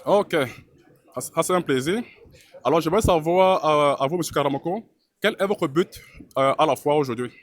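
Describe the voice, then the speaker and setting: Male interviewer, slightly high pitch, dry close sound, short encouraging prompts. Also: normal speed, no room sound. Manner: brief, encouraging acknowledgements. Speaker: YouTube host, interviewer. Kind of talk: casual interview hosting. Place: busy trade fair hall.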